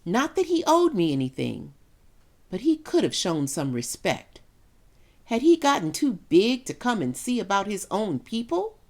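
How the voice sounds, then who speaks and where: A woman performing a dramatic monologue as a grieving, resentful middle-aged mother, with deliberate, emotive delivery and heavy pauses. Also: medium pitch, big pitch swings, a little mellow. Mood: indignant.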